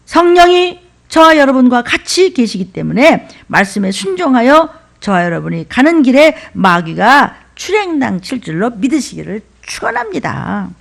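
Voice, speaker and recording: Middle-aged woman pastor, Seoul accent, animated and slightly high-pitched, emphatic rhythmic preaching. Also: moderate pace, vocal fry, hardly any pauses. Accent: Seoul dialect. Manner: emphatic, rhythmic listing with sudden whispers and shouts. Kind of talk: sermon.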